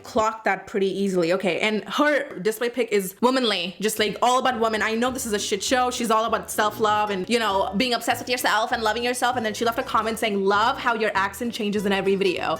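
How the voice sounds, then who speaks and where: A woman's voice: fairly high pitch, a bit bright. A young woman from Dubai with an Indian accent, sassily reading and answering comments in a casual vlog. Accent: Indian, Dubai-tinged. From Dubai, Indian family. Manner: sassy, sarcastic, fast asides. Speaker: beauty vlogger.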